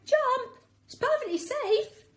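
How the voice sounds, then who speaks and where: Woman voicing an excitable, high-pitched skinny little dog. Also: slow pace, heavily acted. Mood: urging. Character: skinny little male storybook dog, eager and bossy.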